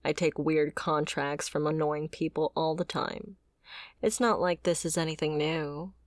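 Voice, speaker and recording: A woman's voice: deep voice, fairly even intonation, unhurried, dry recording, clean studio sound. A woman playing a fiery, bitter mercenary and wounded former bodyguard in a confrontational, sardonic audio roleplay. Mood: sullen, dismissive. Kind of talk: dramatic ASMR roleplay acting.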